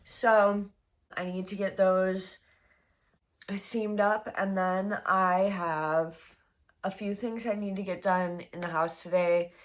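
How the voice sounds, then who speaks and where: A young woman chatting casually in a crochet vlog, her voice hoarse and congested, rambling with many fillers. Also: medium pitch, steady tone, takes it slowly. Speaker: crochet vlogger.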